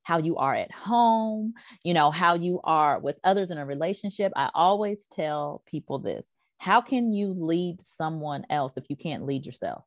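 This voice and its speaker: A middle-aged woman, a career and life coach, talking as a podcast guest in an emphatic, animated way. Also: fairly low pitch, moderate pace, no echo, clean studio sound. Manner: emphatic, animated, quotes people in voices. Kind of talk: casual podcast conversation.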